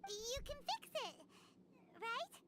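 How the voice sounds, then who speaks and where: A woman voicing a tiny, chirpy, childlike fairy girl companion in a video game, squeaky and perky. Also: very animated, slow, noisy background, exaggerated voice. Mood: reassuring. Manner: perky, exclamatory.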